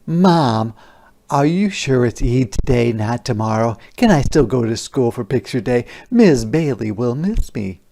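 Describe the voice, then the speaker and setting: A man's voice: mid-range voice, lively intonation, unhurried, vocal fry, mellow. A man voicing an upset, pleading young schoolgirl in a storybook read aloud.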